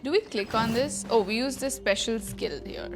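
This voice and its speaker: A woman's voice: a little high, expressive, normal speed, over music. A woman from India chatting casually on a game livestream in a scattered, giggly way. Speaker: streamer. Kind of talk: casual livestream chat while playing a game.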